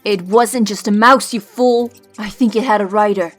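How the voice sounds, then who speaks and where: A woman playing a hot-tempered, bossy young fighter in a comic dub, barking sharp commands. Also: mid-range voice, steady tone, moderate pace, natural voice. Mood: frantic, angry. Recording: music behind the voice.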